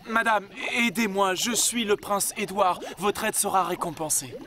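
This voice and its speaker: A man's voice: high-pitched, normal speed, sharp tone. A man voicing a young, well-mannered but haughty prince in a cartoon, courtly and flustered. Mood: urgent, pleading. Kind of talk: French cartoon dubbing.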